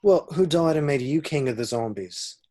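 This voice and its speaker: Guy doing chill slacker best mate, mellow voice, flippant, amateur table read. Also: on the high side, expressive, normal speed.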